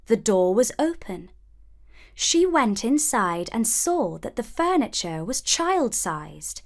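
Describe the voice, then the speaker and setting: Slow, expressive woman storyteller. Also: fairly high pitch. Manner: expressive, deliberate. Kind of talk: scripted children's fairy-tale narration.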